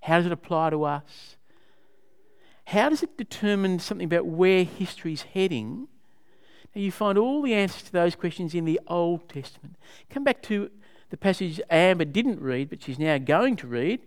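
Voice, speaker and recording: Man teaching a Bible study, clear voice. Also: high-pitched, expressive, moderate pace. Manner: questioning, conversational, explains to a group.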